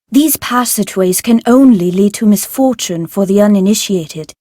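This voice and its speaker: A woman voicing a formal, guarded tutor in a video game, measured and stern. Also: mid-range voice, mellow, no room sound, studio quality, close to an everyday voice. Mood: stern warning. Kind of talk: scripted video game dialogue.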